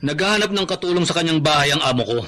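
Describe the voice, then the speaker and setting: An actor playing a stern agent of a moneylender, blunt and demanding, in a Filipino radio drama with a Filipino accent. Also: male voice, on the high side, lively intonation, racing, bright, forceful tone.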